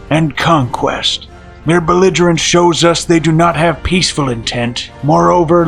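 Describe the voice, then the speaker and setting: A gravelly, slightly high male voice of an actor as a solemn American president in a game cutscene. He gives a resolute, formal address with faint music underneath. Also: a bit bright. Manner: solemn, deliberate. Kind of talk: formal televised political address.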